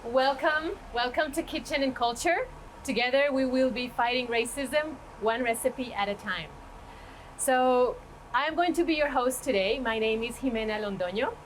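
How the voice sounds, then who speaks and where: A woman from Colombia, hosting an online cooking show and introducing it in a welcoming, slightly halting way. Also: high-pitched, a little hiss or hum, rough recording. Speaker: host.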